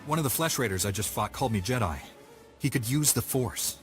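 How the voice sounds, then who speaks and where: A man's voice: medium pitch, lively intonation, fairly quick, faint music behind, natural voice. An actor playing a terse, resolute young male Jedi knight in a game cutscene. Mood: uneasy. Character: dark-side male Jedi knight, blunt. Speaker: player character, Jedi knight.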